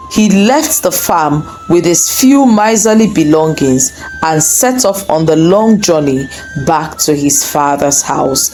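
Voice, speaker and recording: A woman narrating a scripted folktale in an expressive, deliberate storytelling manner. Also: lowish voice, poor audio.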